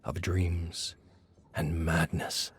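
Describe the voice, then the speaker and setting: An actor playing a brooding screenwriter who narrates his horror journal in a game, slowly and deliberately, pausing mid-phrase. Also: deep voice, monotone. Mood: uneasy wonder.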